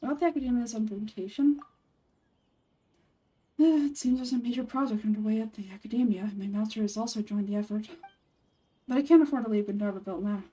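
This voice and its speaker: A woman voicing a composed, sardonic young male forest ranger and scholar in a video game, speaking in a measured, matter-of-fact way. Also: on the high side, warm tone, distant mic, lightly acted, low-quality recording. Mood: dry, measured.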